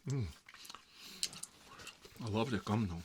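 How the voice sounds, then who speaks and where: A man's voice: fairly low pitch, slow pace, a little mellow, slight background noise. A man playing a put-upon, self-deprecating middle-aged uncle in a rambling, folksy ASMR comedy role-play. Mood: chatty, rambling.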